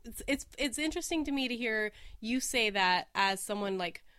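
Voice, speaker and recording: A woman's voice: fairly high pitch, expressive, bright, forceful tone, clean tone, no echo. Chatty woman in her late twenties, dry sound. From Oregon, US. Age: late 20s. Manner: chatty, with frequent likes and quick laughs. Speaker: podcast host. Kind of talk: casual conversational podcast chat.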